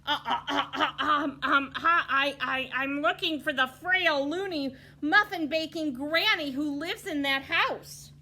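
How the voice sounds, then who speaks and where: A woman's voice: mid-range voice, very animated, a little slow, sharp tone, pitched above the performer's own voice, heavily acted. Woman putting on a sly cackling wolf. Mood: stammering. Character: sly scheming male wolf, crooning and cackling.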